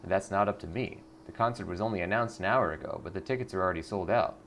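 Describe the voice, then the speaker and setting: A man performs a gruff bodyguard from a video game who won't be bought. The voice is low and creaky, the manner curt and dismissive, over faint noise. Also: fast, a bit bright. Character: curt, incorruptible bodyguard of a pop star.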